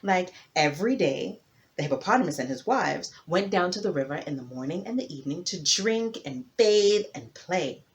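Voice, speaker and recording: A woman narrating a folktale as a storyteller, with theatrical emphasis and dramatic pauses. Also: fairly low pitch, animated.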